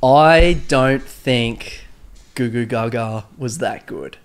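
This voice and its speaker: A young man with a stuffy, nasal head cold trades joking, self-mocking banter as co-host of a podcast. His voice sits at an ordinary middle pitch, and his lines often trail off. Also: slow, clean tone. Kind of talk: casual banter.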